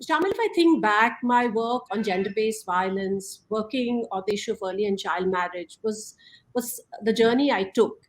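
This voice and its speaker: Woman on a panel, direct, chatty, medium pitch. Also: moderate pace, a little hiss or hum, low-quality recording. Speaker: panelist, advocate. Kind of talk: panel discussion.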